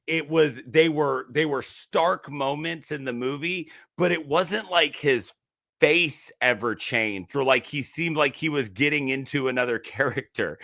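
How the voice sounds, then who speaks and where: A man's voice: on the high side, creaky, bright, forceful tone, dry recording, studio quality. A man co-hosting a horror movie podcast, chatting casually and explaining his points with lots of restarts. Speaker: podcast co-host.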